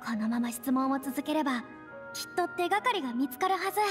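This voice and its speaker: Theatrical young noblewoman, high voice, boyish wording, earnest, anime game. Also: animated, fast. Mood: suggesting. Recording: music behind the voice.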